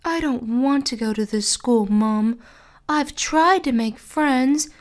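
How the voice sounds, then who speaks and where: A male narrator voicing a sad, sporty young schoolgirl who speaks softly and tearfully. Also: extremely high pitch, slow, pitched above the performer's own voice. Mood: sobbing. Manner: soft, tearful pleading.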